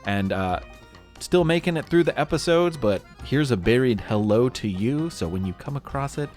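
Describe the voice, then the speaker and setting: A man speaking casually and enthusiastically as a children's podcast host, with upbeat, animated delivery. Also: medium pitch, normal speed, faint music behind. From United States. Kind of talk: casual listener shout-outs.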